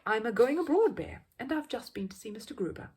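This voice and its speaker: A woman's voice: a little high, lively intonation, fairly quick, a little mellow, slightly rough, pitched above the performer's own voice. A woman voicing a small, earnest male bear from a storybook who is easily offended and speaks indignantly.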